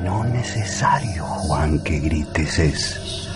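A wise old alien in a radio drama, deep and extremely unhurried. He is calm and cryptic, speaking in halting, broken syntax, with music clearly behind him. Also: full character voice. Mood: solemn, cryptic. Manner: halting broken syntax, solemn, fragmented phrases.

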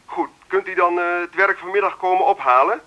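A man with a Netherlands Dutch accent playing a brisk, businesslike artist making a call in a radio drama. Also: sits high, highs cut off, close to an everyday voice. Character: businesslike male artist phoning to hire a translator.

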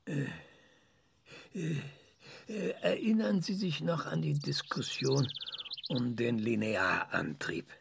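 Cranky old obsessive scientist in a radio play, creaky voice, swings up and down a lot, hesitant. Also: male voice, a little high, expressive, slow, pauses often, noisy background, full character voice. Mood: brooding. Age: older. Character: aggressive, eccentric scientist, obsessed with dangers of moon glass. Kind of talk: radio drama acting.

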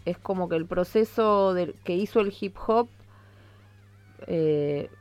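An Argentine woman giving a conversational radio interview as a musician, explaining things in a reflective, halting way. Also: fairly low pitch, smooth, highs cut off, soft background music. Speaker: interviewed hip-hop musician.

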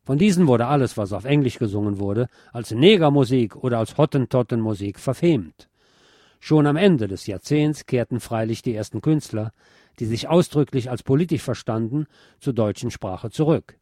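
A man presenting a scripted cultural history piece in a measured, articulate, academic manner. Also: mid-range voice, animated, dark tone, no echo, studio quality. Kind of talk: scripted essayistic narration on music history.

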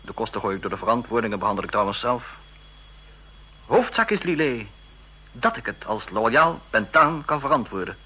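An ambitious deputy spy in a radio drama. His voice is forceful and rough-edged, and he speaks in brisk fragments like a schemer. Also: mid-range voice, sing-song, heavily acted. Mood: businesslike. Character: ambitious, scheming male deputy intelligence officer.

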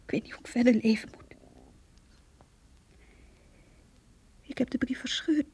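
Breathy, heartbroken young woman. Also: fairly high pitch, whispered. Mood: anguished. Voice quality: breathy, hushed. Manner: intimate, halting, sorrowful. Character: heartbroken young woman confiding her lost love. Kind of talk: radio play monologue, diary-like narration.

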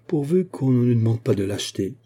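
A fearless old soldier of the musketeers, played by a male reader. He is blunt and full of dignity. The storybook voice is very dark, with a husky grain. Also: medium pitch, expressive, fairly quick. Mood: dignified.

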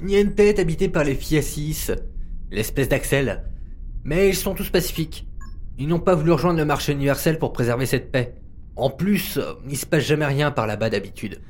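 A man's voice: a little high, expressive, brisk. A man acting a laid-back starship commander in a French sci-fi audio drama, casual and offhand.

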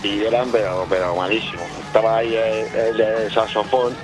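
An actor playing a band leader who speaks haltingly and trips over repeated words. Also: male voice, lowish voice, flat delivery, music in the background, natural voice. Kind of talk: mockumentary radio drama testimony.

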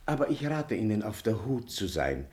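A simple, obliging stranger of the woods in classic German radio drama. His voice is on the low side. He talks in a matter-of-fact, cautioning way. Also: big pitch swings, moderate pace, darkish tone. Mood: helpful, cautioning. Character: plain-spoken, helpful stranger met in the forest. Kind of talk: 1950s German radio play acting.

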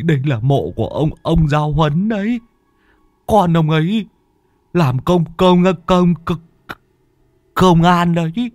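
A jittery young apprentice stammers and begs in a high, drawn-out voice, as a male storyteller puts him on. Every word comes slowly and shakily. The sound is dry and close. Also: no room sound. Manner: stammering, pleading. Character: timid young male apprentice, easily frightened.

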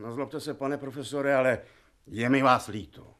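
A man's voice: mid-range voice, steady tone, a bit bright, natural voice. An actor in a radio drama playing a plain-spoken male servant, colloquial and deferential. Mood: apologetic. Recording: crowd noise behind.

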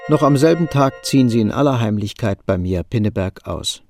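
A man's voice: mid-range voice, expressive, brisk, loud music behind the voice, natural voice. A man narrating a scripted radio drama as an observant storyteller. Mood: storytelling. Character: observant storyteller narrating a young couple's life.

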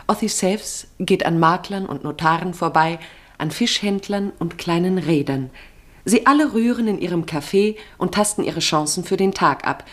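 Low-voiced woman narrating a radio play. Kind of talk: literary radio play narration, present tense.

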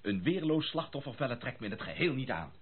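An actor playing an eager, excitable young gentleman in a Dutch radio play, with theatrical, old-fashioned genteel phrasing. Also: mid-range voice, fast talker, breathy edge. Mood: amused, determined. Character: eager young gentleman bachelor, excitable, amused, curious about his bride. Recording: muffled, phone-like old radio recording.

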